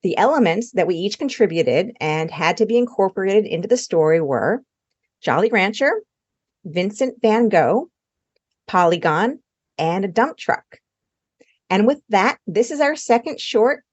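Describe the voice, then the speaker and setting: A woman's voice: mid-range voice, expressive, unhurried. Woman hosting a podcast, slightly muffled sound. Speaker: podcast co-host. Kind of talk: scripted podcast introduction.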